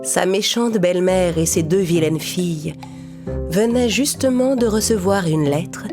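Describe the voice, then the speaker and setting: A woman's voice: on the low side, a little slow, music in the background. A woman narrating a children's fairy tale in a scripted storytelling style.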